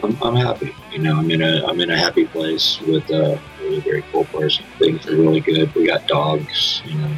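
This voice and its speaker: A man's voice: extremely low pitch, fairly even intonation, moderate pace, hardly any pauses, faint music behind, poor audio. A man from Kansas being interviewed, talking in a laid-back, rambling way with restarts and casual swearing. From Kansas, USA. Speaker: band member being interviewed.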